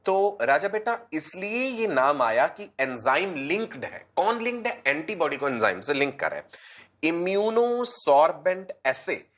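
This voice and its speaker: A male teacher giving an online class with a slight cold that makes him a bit hoarse. He speaks patiently, calls his students "beta" and repeats key terms. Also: fairly high pitch, very animated, a little slow, fairly bright tone.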